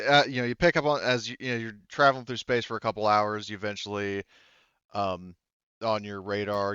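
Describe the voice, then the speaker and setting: A man running a tabletop roleplaying game as game master, talking casually and haltingly, with informal wording and some swearing. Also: on the low side, a bit bright, smooth, dry recording. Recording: dull, lo-fi audio.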